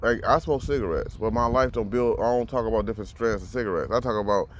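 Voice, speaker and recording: A man's voice: fairly low pitch, fast, slight background noise. A man in his thirties talking bluntly and profanely in a casual monologue, often repeating words as he gathers his thoughts. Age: 30s. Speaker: vlogger.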